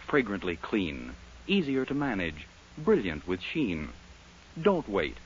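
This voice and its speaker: Male American announcer, 1940s radio commercial style, emphatic and polished, very animated intonation, dull vintage sound, light hiss. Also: on the low side, slow pace. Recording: muffled, faintly hissy 1940s radio recording.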